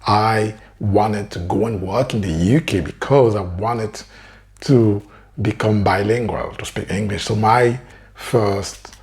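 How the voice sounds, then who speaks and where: A man's voice: on the low side, takes it slowly, a little mellow. A man with a French accent whose first language is French, talking earnestly to camera as a career coach and often adding "right?". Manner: earnest, keeps asking "right?". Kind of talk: direct-to-camera advice talk.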